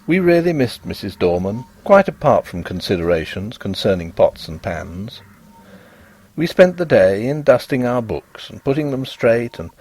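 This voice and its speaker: A man narrating a gothic literary tale in an expressive, measured and dramatic audiobook style. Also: mid-range voice, creaky, breathy edge.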